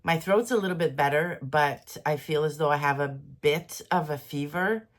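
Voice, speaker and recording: Woman, low voice, congested, head cold, chatty, rambling, soft-spoken vlogger. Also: normal speed. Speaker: quilting vlogger. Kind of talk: casual daily vlog chat.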